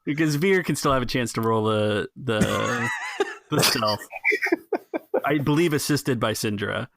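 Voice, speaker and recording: A man's voice: mid-range voice, measured pace, creaky, fairly bright tone, slight background noise. A man hosting and narrating a tabletop roleplaying game as game master in a casual style. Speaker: game master and podcast host.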